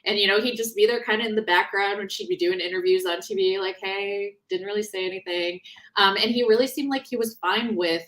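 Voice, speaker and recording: A woman author being interviewed in a casual book discussion, talking in an animated, conversational way and often breaking off mid-thought. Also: medium pitch, a little fast.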